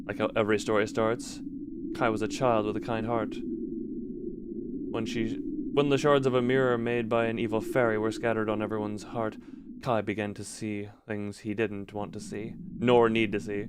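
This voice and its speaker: Male streamer with clear background noise. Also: fairly low pitch, level tone, normal speed. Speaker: streamer talking with live chat. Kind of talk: casual livestream commentary with read-aloud story passages.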